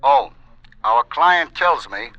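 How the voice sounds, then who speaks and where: A very sharp, dry-sounding criminal go-between in 1940s radio style. He is controlled and businesslike, giving sinister orders with deliberate menace. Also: fairly high pitch, wildly swooping pitch, creaky, no echo, dull audio, exaggerated voice. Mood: cold, businesslike. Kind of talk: 1940s radio thriller acting.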